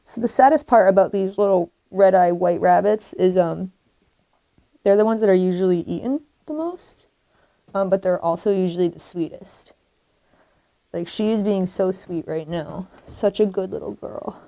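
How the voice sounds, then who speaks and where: A woman's voice: mid-range voice, moderate pace, no echo. Casual young woman, blunt and swearing. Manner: casual and blunt, swears freely, coos softly to the rabbit. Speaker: rabbit rescuer. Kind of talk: casual talk while rescuing an animal. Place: outdoors by a house, then indoors in a small room.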